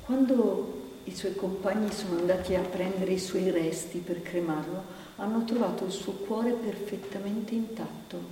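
A woman's voice: mid-range voice, normal speed, warm tone, close to an everyday voice. A woman performing conversational stage theatre as a plain, questioning storyteller who repeats her words. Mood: questioning, sober. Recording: very echoey hall, distant, faint noise.

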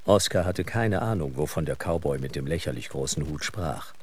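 A man with a husky voice delivering scripted narration as the narrator of a radio drama. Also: rumbling low, expressive, normal speed, a little mellow, a little hiss or hum.

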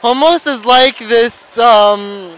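A man's voice: very high voice, takes it slowly, fairly bright tone, poor audio. A teenage boy at an outdoor festival, answering interview questions in a hesitant way, full of "like" fillers. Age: teens. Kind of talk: casual street interview.

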